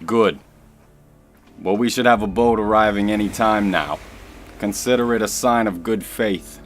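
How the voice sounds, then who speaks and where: A man's voice: on the low side, unhurried, soft background music, close to an everyday voice. A man playing a thick-skulled bodybuilder henchman, casual and plain-spoken, in a crime radio drama. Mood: businesslike. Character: thick-skulled bodybuilder mob henchman, doubtful but obedient.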